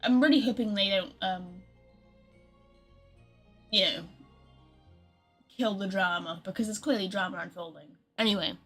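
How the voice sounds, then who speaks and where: A woman's voice: mid-range voice, measured pace, pauses often, husky, faint music behind. A woman streamer giving casual livestream commentary, rambling and speculating, often trailing off mid-thought.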